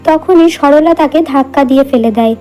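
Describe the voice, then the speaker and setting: A woman's voice: sits high, brisk, music in the background. A woman reading scripted narration for a cartoon folk tale.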